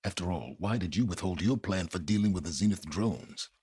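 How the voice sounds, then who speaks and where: Casting: calculating older male scholar for a game; very raspy, low, pompous, condescending, expressive delivery. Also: no room sound, clean studio sound. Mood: measured, superior. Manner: pompous, condescending, deliberate, formal wording. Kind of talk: video game dramatic acting.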